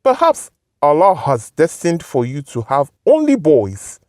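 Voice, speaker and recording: A woman's voice: deep voice, wildly swooping pitch, measured pace, a little mellow, no room sound, exaggerated voice. A woman voicing a devout village husband and father in a folktale, firm and reproving. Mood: admonishing.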